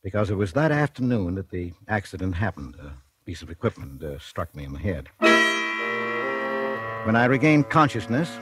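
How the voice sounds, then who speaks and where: An actor playing a meek, lovelorn man who speaks haltingly and pleadingly in a 1940s radio drama. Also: low voice, sing-song. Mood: wistful narrating. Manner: hesitant, stammering, pleading. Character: meek, lovelorn man resigned to a murder charge. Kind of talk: 1940s radio drama acting, first-person narration. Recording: 1940s radio broadcast, faint music behind.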